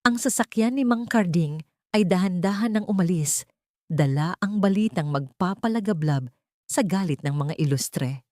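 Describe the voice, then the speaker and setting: A woman's voice: lowish voice, expressive, normal speed, dark tone, dry recording, studio quality. A woman narrating a scripted dramatic audio story in a suspenseful, theatrical manner.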